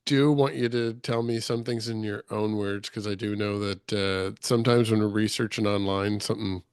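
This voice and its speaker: A man's voice: lowish voice, steady tone, moderate pace, clean tone, dry recording, clean studio sound. A man hosting a casual interview, asking questions in a hesitant way with restarts. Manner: hesitant, many ums and restarts. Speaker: interview host.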